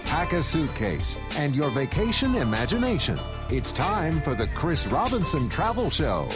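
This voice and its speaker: A man's voice: a little high, very animated, moderate pace. A man reading a polished, scripted radio show intro as the announcer. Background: music.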